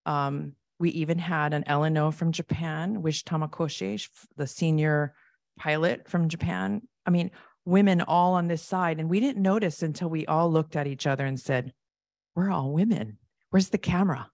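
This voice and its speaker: A woman keynote speaker with a low, gravelly voice answers questions from the audience in a large conference hall. She talks in a loose, conversational way. The sound is dry and close. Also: steady tone. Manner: conversational, warm thanks, acts out quick quoted asides.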